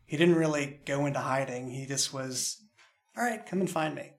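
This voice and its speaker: A man's voice: mid-range voice, normal speed. A man hosting a casual roundtable chat as a show creator, talking in a rambling, jokey way.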